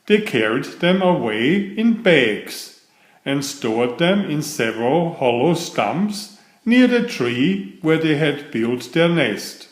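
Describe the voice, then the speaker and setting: A man reading a children's story aloud in a measured, expressive storytelling manner. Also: fairly high pitch, reverberant.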